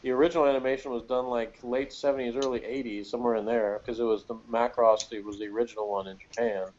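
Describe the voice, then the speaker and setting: A man's voice: medium pitch, steady tone, quick pace, darkish tone, a little hiss or hum. A man co-hosting a casual TV review chat in a joking, wry way, on a muffled recording.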